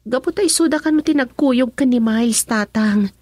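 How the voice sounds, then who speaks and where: A woman's voice: on the high side, moderate pace, darkish tone, dry recording, studio quality, lightly acted. An actress playing a determined, anxious young woman in a radio drama, with a Filipino accent. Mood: anxious, insistent. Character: determined, anxious young woman doubting her boyfriend's faithfulness. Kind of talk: Ilocano radio drama acting.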